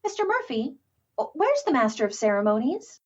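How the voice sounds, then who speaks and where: High, gushing teenage starlet. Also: female voice, sing-song, moderate pace, slightly rough, dull audio, heavily acted. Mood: innocently cheeky. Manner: breathless gushing, wide-eyed. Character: eager, chatty fifteen-year-old girl singing star. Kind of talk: 1930s radio comedy acting.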